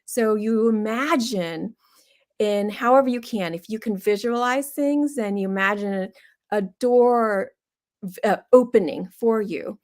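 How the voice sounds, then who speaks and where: A woman's voice: medium pitch, measured pace, darkish tone. Female doctor and host, mid-range voice, conversational wellness guidance delivered in short thought-out phrases. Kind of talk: conversational guided explanation of a mindfulness exercise.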